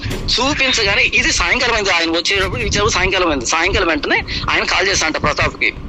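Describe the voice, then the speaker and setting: A man tells a story casually in an animated, explanatory way, repeating phrases and acting out reported dialogue on a noisy call recording. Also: high voice, quick pace. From Kadapa, Andhra Pradesh, India. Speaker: pastor.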